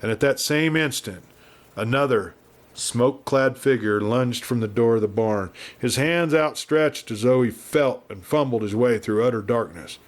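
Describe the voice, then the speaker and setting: A man's voice: medium pitch, normal speed. Theatrical male Western storyteller. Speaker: audiobook narrator. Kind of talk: dramatic scripted narration of a Western adventure.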